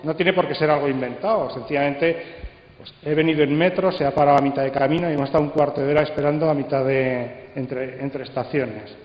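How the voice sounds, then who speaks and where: A man with an accent from Spain giving a live talk, speaking rhetorically phrase by phrase with pauses. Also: on the high side, steady tone. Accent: Spanish, from Spain. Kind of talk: live presentation to an audience. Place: conference hall. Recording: dull sound, echoey hall with long reverb.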